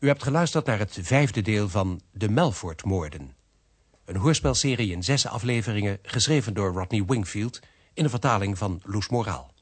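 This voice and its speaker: A man's voice: lowish voice, lively intonation, moderate pace, slightly rough. A man reading formal scripted credits as a radio drama announcer.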